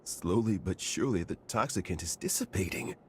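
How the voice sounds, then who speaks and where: A man's voice: sits low, expressive, a little fast, a little mellow. A man playing a sober technician giving a report in a video game cutscene. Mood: quietly hopeful. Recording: faint background noise.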